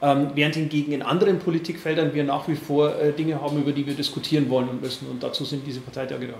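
A man in his forties with an Upper Palatinate accent, answering questions at a press conference in a halting way, with many fillers and restarts. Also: a little high, flat delivery, vocal fry, darkish tone, reverberant, slight background noise. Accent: Upper Palatinate, Franconian-tinged. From Bavaria, Upper Palatinate. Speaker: party board member at press conference.

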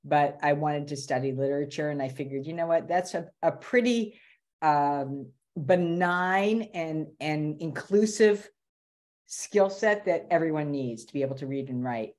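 A middle-aged woman with a notably low voice speaks on a video call from a room at home. She is a career strategist in casual conversation, animated and quick to restart a phrase. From Boston area, USA. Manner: animated, restarts and repeats words. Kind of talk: casual interview talk.

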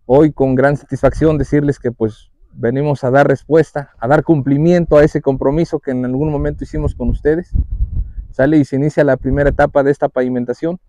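A man's voice: mid-range voice, steady tone, brisk, dark tone. A man in his thirties with a Mexican accent, giving a public speech outdoors as a municipal president, with many filler words. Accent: Mexican Spanish. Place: outdoors at a rural dirt road. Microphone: lapel mic.

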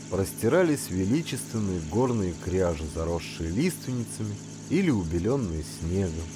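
A man reading a first-person literary story aloud as an audiobook narrator, in an expressive storytelling manner. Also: on the low side, a little hiss or hum.

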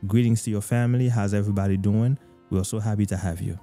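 A young man hosting a live faith talk show over a video call, preaching earnestly with many filler pauses, on a studio microphone. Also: fairly low pitch, steady tone, dark tone, soft background music. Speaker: host. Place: home room, video call. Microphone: studio mic with headphones.

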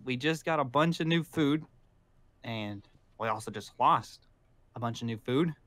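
A man's voice: medium pitch, measured pace, fairly bright tone, dry recording, lightly acted. A man playing a practical, exasperated leader of zombie survivors in improvised game roleplay.

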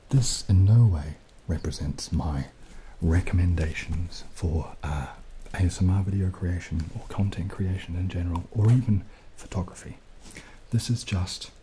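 A young man speaking softly and unhurriedly in casual ASMR style, partly whispering, with some hesitation. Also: low voice, low-quality recording. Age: young adult. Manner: soft-spoken, hesitant, unhurried. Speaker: ASMR video creator. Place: small dim indoor room. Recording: clearly audible background noise, added rain.

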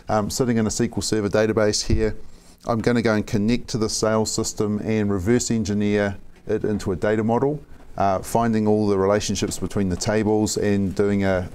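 A man in his forties with a New Zealand accent, walking through a software demo methodically in a conference room. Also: on the low side, slight background noise. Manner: plain, methodical explaining. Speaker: software architect giving a demo. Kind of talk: live software demo presentation.

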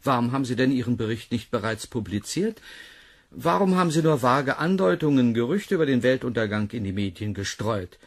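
A male actor playing a cold, condescending research director with a gravelly voice in a sci-fi radio drama. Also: medium pitch, expressive, brisk. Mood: scornful.

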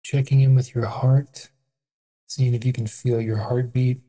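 A meditation guide with an adult voice, leading a body scan in a calm, unhurried, gentle way with pauses. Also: medium pitch.

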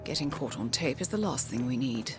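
An actress playing a composed British intelligence handler, speaking in a crisp, dry, measured way in a video game. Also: female voice, rumbling low, lively intonation. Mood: focused, businesslike. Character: cool, composed female intelligence handler of an assassin. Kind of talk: video game voice acting, mission radio guidance. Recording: loud music underneath.

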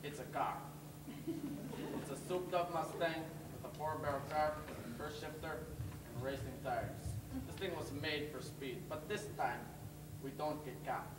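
A man's voice: a little high, unhurried, natural voice. A young man playing a cool, cocky teenage delinquent in a stage play. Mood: eager, cocky. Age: teens to young adult. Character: cool car-loving teenage delinquent boy, dismissive of girls. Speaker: stage actor. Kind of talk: amateur stage play dialogue. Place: theatre stage. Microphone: far camera. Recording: distant, echoey, very noisy stage recording.

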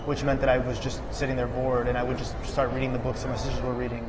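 A man in his thirties from Alabama, telling stories in a relaxed way with many fillers during an interview. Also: medium pitch, fast talker. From Birmingham, Alabama, USA. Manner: relaxed, flat storytelling with fillers. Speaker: author guest. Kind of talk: interview conversation. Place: festival lounge set in a busy hall. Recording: background noise of a busy hall.

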